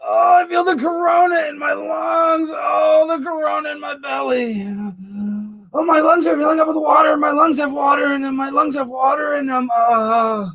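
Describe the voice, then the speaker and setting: A man's voice: very high-pitched, level tone, moderate pace, gravelly, voice pushed up, exaggerated voice, poor audio. A man playing an over-the-top sick man who gasps, panics and wails melodramatically. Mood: panicked. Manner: melodramatic wailing and shouting.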